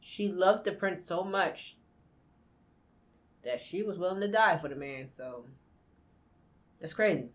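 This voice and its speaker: A woman's voice: lowish voice, animated, slow, long pauses. A young woman hosting a reaction video, talking bluntly and swearing freely as she comments.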